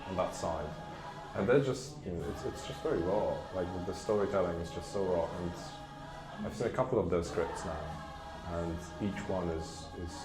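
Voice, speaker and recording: A man in a casual interview as an actor, hesitant and searching for words. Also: sits low. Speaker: actor interviewed about a colleague. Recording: echoey room with music underneath. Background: music.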